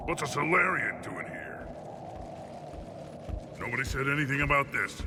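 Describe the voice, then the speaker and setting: An actor playing a belligerent, vengeful alien warrior in a video game, with gravelly contempt and menace. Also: male voice, medium pitch, animated, moderate pace, background noise, close to an everyday voice. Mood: suspicious. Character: belligerent, vengeful male Krogan alien warrior, scornful and brutal.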